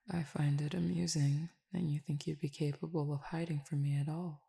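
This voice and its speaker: A woman voicing a low, gravelly, teasing cupid. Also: flat delivery, dry recording, studio quality, exaggerated voice. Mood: languid teasing. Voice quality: formal, measured and quietly teasing. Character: calm, teasing cupid roommate who feeds on desire. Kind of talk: audio roleplay addressed to the listener.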